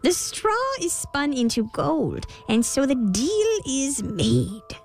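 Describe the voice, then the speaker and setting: Lady doing a sly little storybook imp guy, high swoopy voice, taunting and drawing words out. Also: wildly swooping pitch, gravelly, darkish tone, soft background music, heavily acted. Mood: smug, menacing. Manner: taunting, drawn-out delivery. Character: odd little male imp, sly and bargaining. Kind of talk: storybook character voice.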